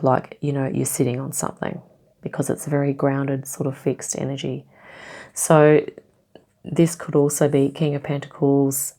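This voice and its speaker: A woman giving a casual tarot reading, rambling gently with lots of ums and okays, a bit nasal from a cold. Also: very deep voice. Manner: meandering, many ums and okays, gentle reassuring tone. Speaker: tarot reader.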